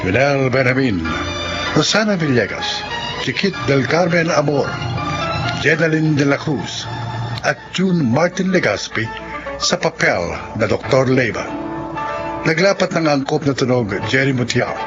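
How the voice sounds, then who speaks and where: A Filipino-accented man reads scripted radio drama narration. His voice sits at a medium pitch, with prominent music running underneath. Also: lively intonation, unhurried, muffled recording.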